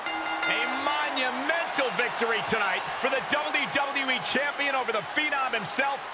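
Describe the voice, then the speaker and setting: An excitable male lead commentator for a wrestling game. His pitch is extremely high, and he calls the action dramatically over a loud, thudding arena din. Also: moderate pace. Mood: dramatic. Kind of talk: sports commentary in a video game. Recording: loud arena noise and thuds.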